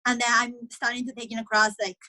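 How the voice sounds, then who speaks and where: A woman's voice: on the high side, flat delivery, fast, sharp tone, rough recording. A young woman from Japan with a Japanese accent, a college tennis player chatting in an interview, speaking non-native English full of "like" and "you know" and laughing often. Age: young adult. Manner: giggly, many fillers like "like" and "you know". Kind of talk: casual interview, video call.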